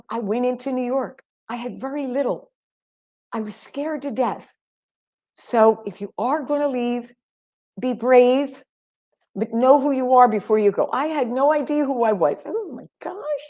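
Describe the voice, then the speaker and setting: Animated woman vocal coach, high voice. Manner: animated, emphatic, calls listeners honey. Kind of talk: live stream talk.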